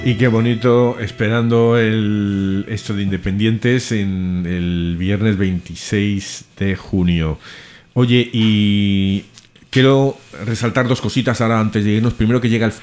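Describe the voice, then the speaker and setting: Low-voiced male radio co-host. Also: steady tone, measured pace, a little mellow, soft background music. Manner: chatty, announcing upcoming events. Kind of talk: radio announcements.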